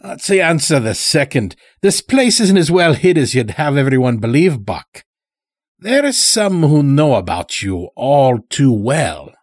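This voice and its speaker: A male narrator plays a stout old farmer in a story, sly and easygoing. He speaks in a folksy drawl, slow and husky, stretching his words. The pitch swings widely and the sound is close and dry. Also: big pitch swings, dry recording.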